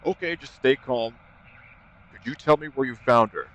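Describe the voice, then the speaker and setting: A man's voice: mid-range voice, normal speed, a bit bright, natural voice. A man playing a businesslike police emergency dispatcher, questioning steadily in an acted phone call. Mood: calm, concerned.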